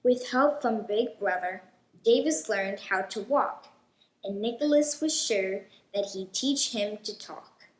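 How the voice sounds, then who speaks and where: A woman hosting a children's read-aloud, telling a storybook in a deliberate, expressive way. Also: mid-range voice, roomy sound, low-quality recording.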